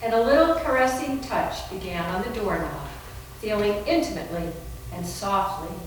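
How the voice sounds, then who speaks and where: A woman giving expressive narration of a story in a live readers theater performance. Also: mid-range voice, moderate pace. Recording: very echoey room, far from mic, faint noise.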